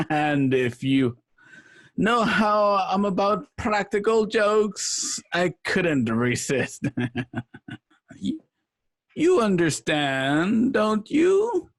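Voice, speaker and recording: A flamboyant, gleefully cruel clown villain, forever breaking into maniacal cackles. His voice sits high and swoops wildly up and down, and he drags his words out at an unhurried, savoring crawl. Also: heavily acted. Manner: theatrical, frequent maniacal laughter. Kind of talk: amateur radio drama acting.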